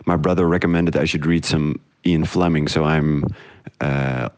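A man from the Netherlands, a podcast creator chatting casually as a guest in halting phrases. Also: a bass voice, steady tone, darkish tone, no echo. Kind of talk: casual chat.

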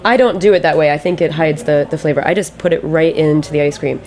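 A woman's voice: low voice, fast talker, a little mellow. A woman speaking as a guest business owner in a casual studio chat, plain-spoken and a little sweary. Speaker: guest, ice cream company owner.

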